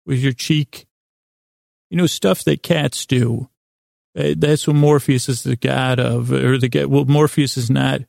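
Male sleep-podcast host, mid-pitched with vocal fry, dry sound; lulling, stammering, meandering improvised delivery. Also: a little mellow, dry recording. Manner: meandering, stammering, with repeated false starts and tangents. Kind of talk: rambling improvised bedtime monologue.

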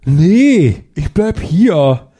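A man's voice: on the high side, big pitch swings, very slow, dark tone, breathy edge, heavily acted. A man playing a simple, good-natured young sidekick in a comedic audio drama, hesitant and puzzled. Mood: earnest.

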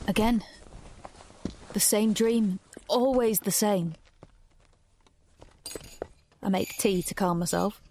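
A woman's voice: medium pitch, takes it slowly, pauses often, warm tone, slight background noise. Lonely teenage girl narrator. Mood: bleak, fearful. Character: lonely, frightened teenage girl narrating her isolation underground. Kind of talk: first-person confessional audio drama narration.

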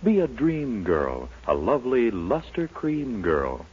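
A man's voice: mid-range voice, huge pitch swings, takes it slowly, background noise. An American man, a polished and promotional announcer in 1940s radio commercial style. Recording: dull, lo-fi 1940s radio broadcast, music behind. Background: music.

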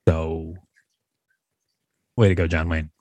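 A man's voice: a bass voice, level tone, slow, darkish tone, no room sound. A man co-hosting a podcast, reading an article aloud and making wry, joking asides. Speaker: podcast co-host. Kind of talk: reading aloud with casual commentary.

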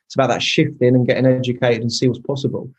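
Man, 30s, casual guest, repeats himself, mid-pitched voice. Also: little pitch movement, quick pace, darkish tone, highs cut off. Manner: explains step by step with many restarts. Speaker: property investor guest. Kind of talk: casual podcast chat.

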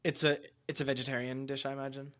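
A man hosting a podcast, musing in a relaxed, conversational way and often using fillers. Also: medium pitch, quick pace. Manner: reflective, musing, with loose fillers like "like" and "you know". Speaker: podcast host. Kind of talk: casual conversational chat.

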